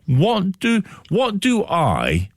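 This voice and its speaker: A middle-aged man interviewing on the radio, putting long, reflective questions with deliberate, emphatic phrasing. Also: high voice, wildly swooping pitch.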